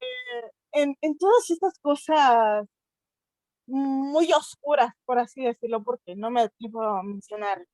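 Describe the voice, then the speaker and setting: A teenage girl from Mexico speaks with a Mexican accent at a youth colloquium. Her voice sits high, and the sound is dry and close, with no room around it. She talks earnestly, stops to hunt for words, restarts her sentences and asks herself questions. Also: lively intonation, a little slow, a little mellow, no room sound, low-quality recording. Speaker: essay contest participant. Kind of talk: unscripted talk at a youth colloquium.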